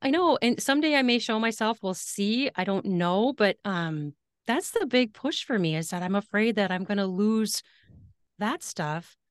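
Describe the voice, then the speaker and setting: A woman's voice: mid-range voice, lively intonation, moderate pace, smooth, no room sound, studio quality. A woman guest who narrates audiobooks, talking casually in an interview, laughing often and restarting her sentences.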